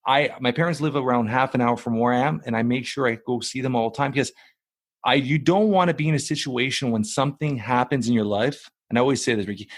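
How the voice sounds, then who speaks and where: A man's voice: medium pitch, no room sound. A middle-aged man hosting a podcast, talking bluntly and emphatically and swearing casually. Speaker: podcast host. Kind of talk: casual interview talk.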